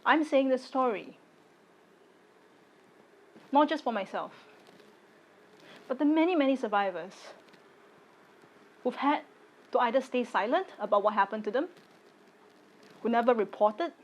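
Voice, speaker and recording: A woman in her late twenties from Singapore, giving a personal video statement in deliberate, firm, short phrases. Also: on the high side, animated, lo-fi sound. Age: late 20s. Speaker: content creator.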